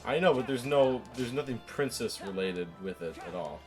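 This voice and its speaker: A man's voice: mid-range voice, lively intonation, moderate pace, music in the background. A man co-hosting a gaming show, chatting casually over gameplay in a teasing, opinionated, rambling way.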